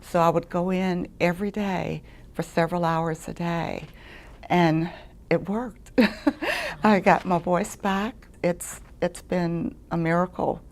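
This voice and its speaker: A middle-aged woman, giving an earnest personal testimonial as a patient in measured words. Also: lowish voice.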